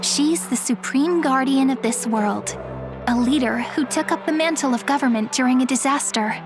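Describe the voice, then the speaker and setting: A woman's voice: sits high, normal speed, music in the background. An actress playing a friendly young woman who guides and explains in a chatty, helpful way in a video game cutscene. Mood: light, casual.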